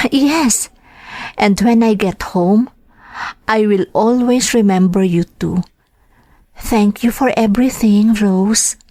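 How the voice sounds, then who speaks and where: A woman playing a gentle, homesick mother working abroad, acting in a sentimental radio drama with a Filipino accent, tender and emotional. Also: mid-range voice, slow pace, long pauses, dry recording, lightly acted. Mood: tender farewell.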